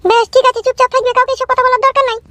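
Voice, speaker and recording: Squeaky, bossy village mother-in-law. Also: flat delivery, very fast, sharp tone. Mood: bossy, scheming. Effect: pitched-up cartoon voice. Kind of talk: comic cartoon dialogue.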